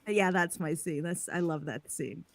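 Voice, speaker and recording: A woman's voice: on the low side, creaky. A woman voice actor chatting casually on a livestream in a playfully sardonic, theatrical way. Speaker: voice actor guest on a cast Q&A.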